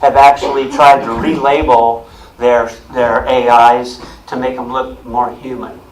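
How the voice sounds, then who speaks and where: A man speaks loudly and plainly to a room of listeners through a mic. His voice sits at an ordinary middle pitch. He restarts and repeats words as he works through his explanation. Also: little pitch movement, measured pace, rough recording. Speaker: guest speaker on technology. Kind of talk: explaining to a club audience. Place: meeting room with audience. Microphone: handheld or stand mic with speaker system.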